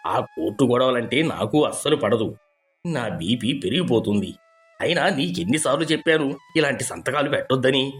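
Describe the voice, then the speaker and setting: A man voicing a selfish elderly uncle, gruff and scolding, in a dramatized moral story. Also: mid-range voice, expressive, moderate pace, close to an everyday voice. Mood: evasive, grumbling. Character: boastful, selfish elderly uncle making excuses.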